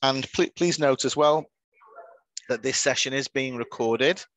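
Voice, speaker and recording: A man's voice: mid-range voice, unhurried, fairly bright tone, low-quality recording. A man hosting an online teachers' webinar in a friendly, chatty way, often restarting his sentences, heard over a video call. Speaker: webinar moderator.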